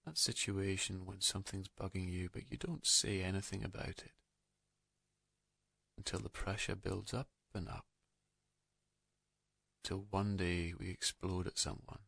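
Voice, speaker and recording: Deep gravelly man guiding a meditation. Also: no room sound. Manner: calm, gentle, soothing, unhurried pauses. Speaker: guided meditation narrator. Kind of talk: slow guided meditation, hypnotic narration.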